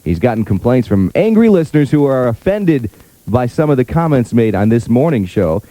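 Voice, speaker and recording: A man's voice: medium pitch, big pitch swings, normal speed, rough recording. A man hosting a morning radio show with jokey, playful DJ patter and station announcements. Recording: loud music bed on radio aircheck. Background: music.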